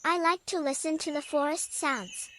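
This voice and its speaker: A very high, childlike little storybook animal, acted out by a performer, gentle and simple in phrasing. Birdsong and forest ambience sit audibly behind the voice. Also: fairly even intonation, normal speed, slight background noise. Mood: gentle, curious. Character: gentle young forest animal friends, slow snail and companion. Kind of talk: bedtime story character dialogue.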